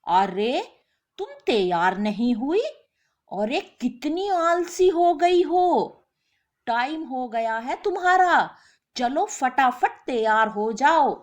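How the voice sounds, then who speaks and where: Woman doing lazy husband, whining, self-pitying, indignant, high pitch, lively intonation, storybook. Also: slow.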